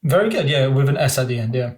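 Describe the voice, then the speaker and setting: A man's voice: mid-range voice, animated, fast talker, darkish tone. A young man from England, a podcast guest, telling rambling stories in casual chat with constant fillers.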